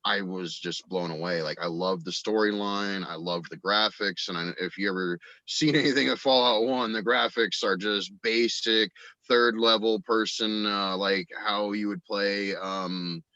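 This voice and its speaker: A man's voice: lowish voice, steady tone, a little slow, hardly any pauses, bright, forceful tone, no room sound, muffled recording. A man chatting casually as an interview guest, rambling enthusiastically about his creative work. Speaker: guest, audio drama writer and voice actor.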